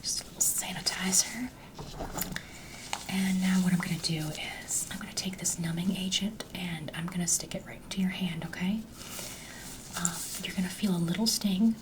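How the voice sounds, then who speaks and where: A woman doing an ASMR doctor roleplay, speaking gently and reassuringly to a patient in soft whispers. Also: lowish voice, fairly even intonation, normal speed, distant mic, background noise.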